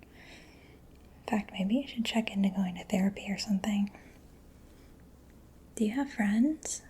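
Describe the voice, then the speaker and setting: Female ASMR performer, soft whispery mechanic roleplay, dark mellow tone, close-miked with some noise behind. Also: mid-range voice, unhurried. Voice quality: soft, breathy, mostly whispered. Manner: gentle, personal-attention murmuring. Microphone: close-up ASMR microphone.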